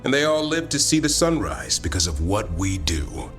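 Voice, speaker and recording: An actor playing a wry, earnest warrior of an honorable fantasy order in a video game, with dry, measured delivery. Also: male voice, on the low side, sing-song, faint music behind. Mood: earnest, stirring.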